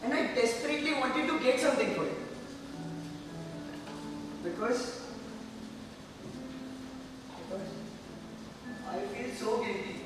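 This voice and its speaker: Man acting an earnest high-voiced friend, echoey hall. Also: expressive, slow, long pauses, heavily acted. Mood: guilty, earnest. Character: earnest, guilt-ridden young friend visiting a hospital patient. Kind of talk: amateur stage play acting. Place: large hall stage. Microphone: distant microphone. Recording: echoey hall from far off, music.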